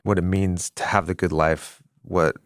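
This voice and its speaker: A man's voice: deep voice, steady tone, dry recording, clean studio sound. A man co-hosting a podcast, speaking casually and searching for his words, and also reading the show's closing credits. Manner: halting, searching for words with fillers. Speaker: podcast co-host. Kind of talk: casual conversation and show outro.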